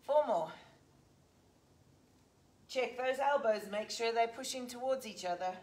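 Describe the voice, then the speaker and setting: A woman's voice: fairly high pitch, measured pace, a little mellow. A woman in her forties with an English accent, leading a live workout and counting reps while she exercises. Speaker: fitness instructor. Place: large indoor gym. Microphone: fixed camera a few metres off.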